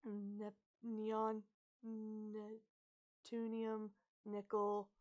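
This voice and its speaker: A woman's voice: mid-range voice, completely flat delivery, extremely slow, a little mellow, lo-fi sound. A woman voicing an anxious, sarcastic teenage boy who blurts out nervous wisecracks in an audiobook. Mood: nervous muttering. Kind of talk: audiobook character voice.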